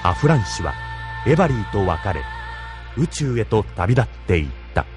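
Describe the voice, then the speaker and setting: A man's voice: on the low side, big pitch swings, normal speed, over loud music. A man giving dramatic scripted narration as the narrator of an audio drama.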